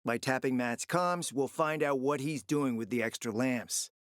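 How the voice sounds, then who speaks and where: A man playing a suave, flirtatious, scheming spymaster in a video game, with a smooth, theatrical, sardonic and teasing manner. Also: medium pitch, normal speed, a bit bright, no echo, studio quality, natural voice. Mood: sly, persuasive. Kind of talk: video game character acting.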